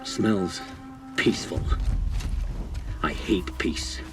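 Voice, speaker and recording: Menacing brooder, low slow voice, clipped phrases, game acting, distant sound. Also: male voice, music in the background. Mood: brooding. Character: grim, menacing man who hates peace. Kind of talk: dramatic video game acting.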